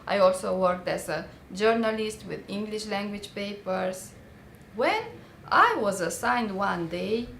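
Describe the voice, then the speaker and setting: A woman with a Romanian accent reads her personal story as a dramatic monologue, theatrical and deliberate, with pointed pauses and bitter irony. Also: mid-range voice, gravelly, a bit bright, roomy sound, slight background noise, rough recording. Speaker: writer reading her own memoir.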